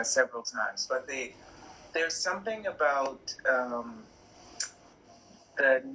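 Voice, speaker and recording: Woman, interview guest, unhurried delivery, crisp bright timbre, reflective questions, over a live video call. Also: extremely low pitch, poor audio. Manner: slow, thoughtful, poses questions to listeners. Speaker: workshop leader, interview guest. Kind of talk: reflective coaching talk.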